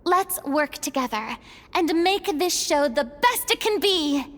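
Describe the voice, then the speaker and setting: An actress voicing a proud, eloquent young retired performer in a video game, with grandiose, formal phrasing. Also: female voice, high-pitched, lively intonation, a little slow, fairly bright tone. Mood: triumphant, rousing.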